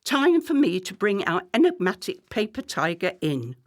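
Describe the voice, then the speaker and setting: A woman's voice: mid-range voice, very animated, normal speed, lightly acted. A woman playing a contemptuous, sarcastic middle-class wife in a radio play, with a cutting, theatrical delivery. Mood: acidic mockery.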